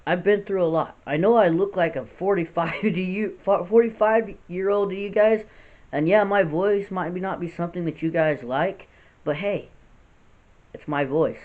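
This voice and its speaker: Gravelly young man, tinny phone-like sound. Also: sits high, moderate pace. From United States. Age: 20s. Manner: earnest, rambling with restarts. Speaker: vlogger. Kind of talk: unscripted serious vlog. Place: house with poor lighting.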